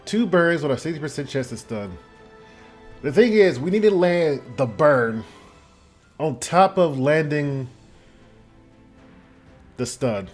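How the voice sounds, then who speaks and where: A man's voice: on the high side, animated, slow, long pauses, soft background music. A man giving casual, rambling commentary on a game, reacting aloud as it goes. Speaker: gaming video host.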